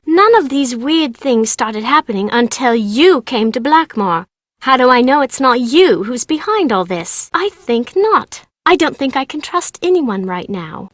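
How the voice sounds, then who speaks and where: An eager young British girl in a video game. The voice is high and childlike, swinging widely up and down in a playful, bossy sing-song. It is recorded close and dry. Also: a bit bright, no room sound. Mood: upset, distrustful. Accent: British English. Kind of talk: video game character voice acting.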